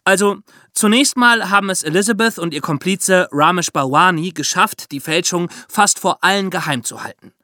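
A man's voice: high voice, normal speed, pushed and bright, dry recording, clean studio sound. A young man co-hosting a podcast, explaining in scripted narration. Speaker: podcast co-host.

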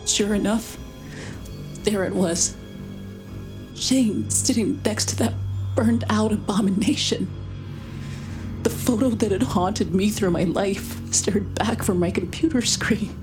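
A woman's voice: mid-range voice, takes it slowly, pauses often, music in the background, close to an everyday voice. An actress playing an anxious, haunted young mother in a horror audio drama, hesitant, tense and earnest. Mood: uneasy. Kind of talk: audio drama narration and dialogue.

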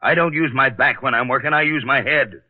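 A stubborn middle-aged laborer played by a male actor in a 1940s radio drama. He talks in a gruff, blunt working-class way at a middling pitch. The sound is narrow and tinny, like an old broadcast. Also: a little fast, lightly acted. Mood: dismissive, stubborn. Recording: thin, narrow-band 1940s radio recording.

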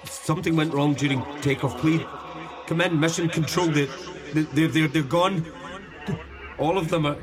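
A man playing a shell-shocked lone survivor astronaut in audio fiction, stammering and halting as he speaks. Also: fairly high pitch, fairly even intonation, a crowd in the background, close to an everyday voice. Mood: distraught, dazed. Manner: stammering, halting, breathless pleading. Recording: loud vehicle ambience noise behind.

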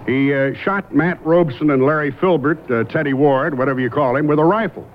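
An actor playing a young, shrewd investigator in a radio mystery, halting and deliberate as he narrates and probes. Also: a little high, a little hiss or hum, natural voice. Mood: hesitant. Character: young male investigator, shrewd, hesitant, narrating his case. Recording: muffled vintage radio recording, music behind.

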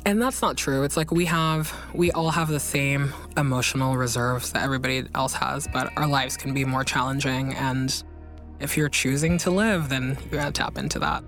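A woman's voice: a bass voice, steady tone, normal speed, a bit bright. Woman as interview guest, candid and informal, leaning on repetition for emphasis, music playing underneath. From Guinea and Belgium. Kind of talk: candid casual conversation.

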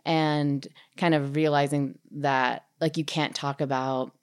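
A woman filmmaker giving analytical, conversational interview answers, pausing deliberately to find her words. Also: low-pitched, flat delivery, clear, resonant tone. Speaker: filmmaker guest.

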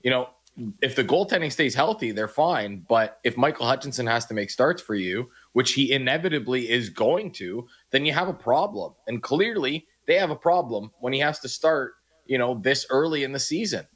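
A man's voice: mid-range voice, lively intonation, moderate pace, fairly bright tone, breathy edge. A man co-hosting a sports radio show, talking casually and restarting his sentences often as he argues his points.

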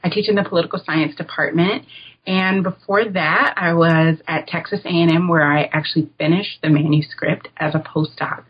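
Low husky woman with lively chat. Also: normal speed. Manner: animated and chatty, with many fillers, rising in pitch when amused. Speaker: author guest, political science professor. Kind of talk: conversational interview. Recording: dull lo-fi audio.

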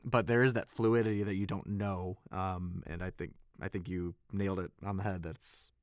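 A man chatting casually as an interview guest and voice actor, informal and halting as he thinks aloud. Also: low-pitched, level tone, dry recording, clean studio sound.

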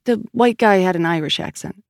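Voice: medium pitch, brisk, vocal fry, dry recording, clean studio sound, pitched above the performer's own voice, natural voice. An audiobook narrator playing a tense, stubborn woman police officer who speaks insistently and with exasperation. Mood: urgent, stubborn. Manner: insistent, emphatic.

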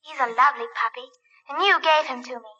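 A woman's voice: high voice, fast, bright, forceful tone, husky, full character voice. A woman voicing an eager, affectionate twelve-year-old English girl in a 1940s radio drama, on a muffled old recording. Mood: gushing, eager.

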